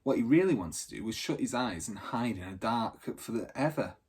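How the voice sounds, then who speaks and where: A man narrates a children's adventure story like a teacher reading to a class. His voice is slightly rough and husky at a middle pitch, with expressive, dramatic stress on phrases. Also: moderate pace.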